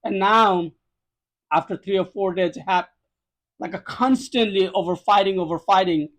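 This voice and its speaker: A man's voice: high-pitched, moderate pace, a bit bright. A man from Nepal with a Nepali accent, giving a conversational first-hand account as a podcast guest in emphatic, repetitive non-native English phrasing.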